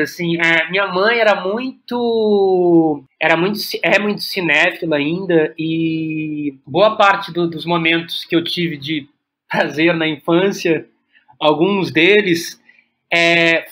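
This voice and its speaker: High-voiced, bright Brazilian man, thinking aloud. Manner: halting, searching, repeats words as he thinks aloud. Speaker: podcast guest, playwright and director. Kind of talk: reflective conversational interview.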